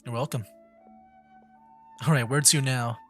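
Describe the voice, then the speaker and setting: Chatty guy streamer, medium pitch, rambling through his gameplay, faint music behind. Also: steady tone, measured pace, fairly bright tone. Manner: chatty, thinking aloud. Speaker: gaming streamer. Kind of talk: casual live game commentary.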